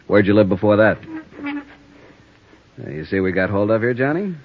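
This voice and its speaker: An actor playing a calm, probing police sergeant questioning a suspect in an old radio drama. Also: male voice, on the low side, normal speed, a little hiss or hum, lightly acted. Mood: steady. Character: steady middle-aged male police sergeant interrogator. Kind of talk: 1950s radio drama acting. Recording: dull old radio broadcast.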